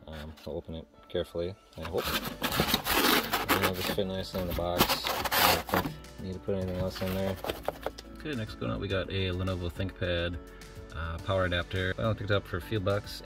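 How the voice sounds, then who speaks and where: A man with a notably low voice talks through a how-to vlog. He is casual and matter-of-fact, wandering a little as he explains. Music plays clearly behind his voice. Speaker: online reseller hosting a shipping vlog. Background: background music.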